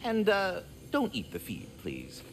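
A man's voice: high-pitched, wildly swooping pitch, measured pace, background noise, heavily acted. A man voicing a magical talking squirrel for a video game, prim, verbose and fussily polite with sardonic, theatrical delivery. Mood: politely explaining. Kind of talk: video game character acting.

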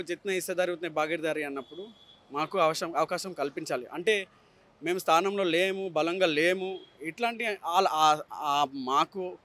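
Man, thirties, Telangana Telugu accent, moderately high voice, politician, interview answers, English mixed in. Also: fairly bright tone. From Telangana, India. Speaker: politician seeking election ticket. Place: outdoors on a city roadside. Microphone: handheld reporter's microphone.

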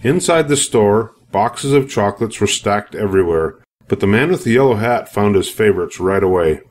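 An older man narrates a children's storybook in a fairly low voice. He reads expressively for young listeners, in a scripted read-aloud style. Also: expressive, normal speed, slight background noise.

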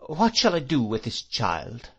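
A man's voice: mid-range voice, huge pitch swings, unhurried, a bit bright, husky, pitched above the performer's own voice, exaggerated voice. A man reading an audiobook, voicing a fierce and outspoken elderly aunt who scolds emphatically. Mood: brisk.

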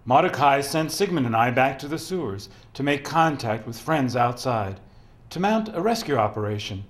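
Man as somber, haunted resistance survivor, mid-pitched. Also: lively intonation, moderate pace, natural voice. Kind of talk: solemn dramatic reading.

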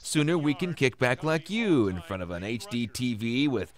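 An actor playing a menacing robber in a radio drama, taunting and sarcastic with a false friendliness. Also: male voice, mid-range voice, big pitch swings, normal speed, natural voice. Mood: smug. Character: menacing, mockingly friendly male robber posing as FBI agent.

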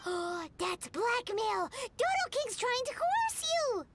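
A woman voicing a tiny, cheeky, excitable fairy girl companion in a video game, with a squeaky childlike voice. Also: takes it slowly, background noise, full character voice. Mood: animated, urgent. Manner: excitable, speaks of herself in third person.

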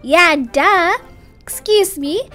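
Very high-pitched young woman acting out a story. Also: drawn-out words, sharp tone, faint music behind. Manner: casual teen slang, exaggerated dialogue. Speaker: storytelling video creator voicing all characters. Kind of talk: acted children's game roleplay story.

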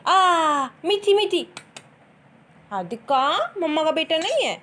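A woman's voice: extremely high pitch, sing-song, takes it slowly, pushed and bright. A young woman talking playfully to her small child in a coaxing, sing-song way. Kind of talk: playful child-directed chat. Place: indoors in a bedroom at home.

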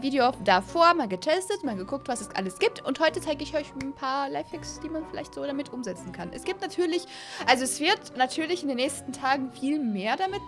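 A woman in her twenties doing excitable, gushing let's-play commentary for viewers, sprinkling in English words, recorded on a close desk microphone in a small room. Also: high voice. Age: 20s. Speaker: host of a gaming channel. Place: small home gaming room, indoors. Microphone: close desk microphone on an arm, with headset. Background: background music.

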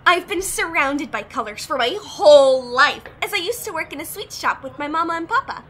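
A woman's voice: high voice, animated, a little fast, fairly bright tone. A woman playing a hyperactive, bubbly young graphic artist in an anime-style fan audio drama, gushing and giggly. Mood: cheery. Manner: gushing, giggly, squealing exclamations.

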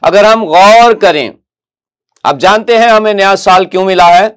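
A middle-aged man with a Pakistani Urdu accent delivers an earnest sermon, often stopping to ask his listeners questions. His voice sits fairly high for a man. He speaks into a lapel mic in a television studio. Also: a little slow, clean tone. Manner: earnest preaching with rhetorical questions, repeated "my dear ones". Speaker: pastor. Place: TV news studio, indoors.

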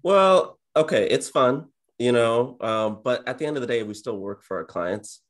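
A man in his twenties chatting casually as an interview guest on a video call, in a low-key, monotone manner. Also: normal speed. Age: 20s. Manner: low-key, introverted, self-described monotone. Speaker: interview guest, real estate agent and YouTuber. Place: indoor home office, on a video call.

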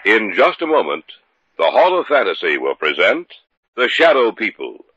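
An ominous horror host from old-time radio. His theatrical delivery is bright and lively, ringing through a long, cavernous echo over music. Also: lowish voice, normal speed, dull audio, slight background noise, exaggerated voice. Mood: ominous, grand. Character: ominous host inviting listeners into the supernatural. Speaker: radio show announcer.

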